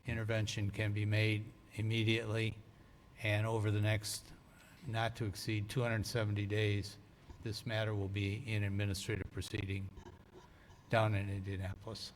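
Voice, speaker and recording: An American man speaking as a town attorney, giving a measured, formal, lawyerly explanation at a council meeting. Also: lowish voice, monotone, a little hiss or hum.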